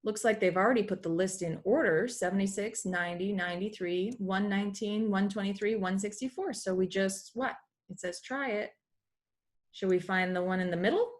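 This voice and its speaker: A woman's voice: mid-range voice, moderate pace. A woman teaching through an educational read-aloud, explaining as she goes and slipping in informal asides. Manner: explanatory, acts out dialogue, breaks off for asides. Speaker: teacher reading a math comic aloud.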